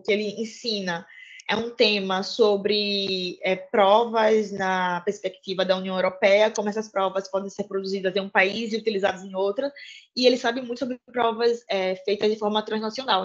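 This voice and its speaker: A woman's voice: medium pitch, dull audio. Chatty young woman on a call. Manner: chatty, many fillers and self-corrections, earnest. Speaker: law student panelist. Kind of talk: informal talk to fellow students.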